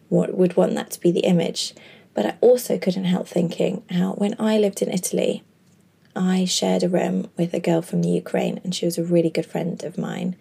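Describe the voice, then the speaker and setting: Lady podcaster rambling thoughtfully, low husky gravelly voice, mellow tone. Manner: earnest, chatty, with many fillers. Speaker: podcast host. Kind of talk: reflective monologue.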